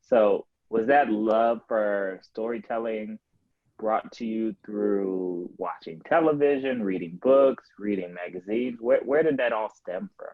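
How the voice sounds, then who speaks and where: Male interview host, slow questions, phone-like call audio, dry sound. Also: fairly low pitch, no echo. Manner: asks probing questions with many "right?" checks.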